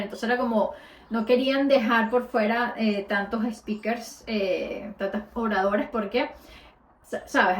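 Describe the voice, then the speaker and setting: A woman's voice: on the high side, echoey room. A Latin American woman with a Spanish accent, a podcast host telling a personal story in a conversational way, with frequent hesitations.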